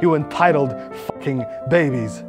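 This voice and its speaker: A man's voice: fairly high pitch, moderate pace, close to an everyday voice. Man putting on a melodramatic, self-pitying provocateur. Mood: wounded indignation. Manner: melodramatic, pompous. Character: aggrieved, self-pitying male internet provocateur ranting at fans. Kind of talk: mock dramatic reading of social media rants. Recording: music behind the voice.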